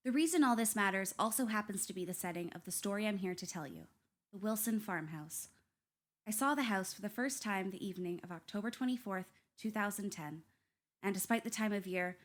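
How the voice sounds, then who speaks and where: A woman playing a composed Coast Guard investigator who narrates a radio play in a measured, deliberate way. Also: mid-range voice, lightly acted. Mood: brooding, measured.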